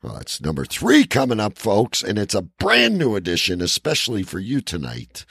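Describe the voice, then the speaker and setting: A deep-voiced man hosts a podcast in a rambling, halting way. His voice is gravelly and lively in pitch, with an unhurried casual feel. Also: dry recording, studio quality. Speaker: podcast host. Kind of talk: casual comic chat.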